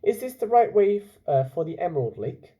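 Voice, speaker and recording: Man voicing an eager, higher-pitched storybook boy. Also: very animated, fairly quick, mellow. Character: eager young elephant boy in a children's story.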